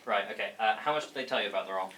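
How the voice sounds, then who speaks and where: A man's voice: fairly low pitch, racing, natural voice. A man playing a sceptical, wry, exasperated friend who works in a cinema, at an amateur comedy table read. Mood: wry, puzzled. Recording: distant mic, faint room noise.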